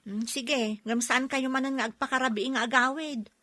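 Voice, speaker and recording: A woman's voice: sits high, steady tone, moderate pace, fairly bright tone, no echo. A woman playing a caring, anxious grown child fretting over a mother in a radio drama, with an Ilocano accent.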